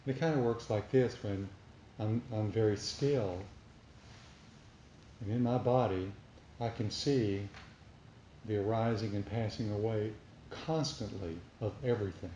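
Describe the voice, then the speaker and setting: Man, lowish voice, reflective dharma talk, deliberate pauses, echoey room, faint background noise. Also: warm tone. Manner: deliberate, pausing between short phrases. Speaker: meditation teacher giving a dharma talk. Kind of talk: reflective spiritual talk to a group.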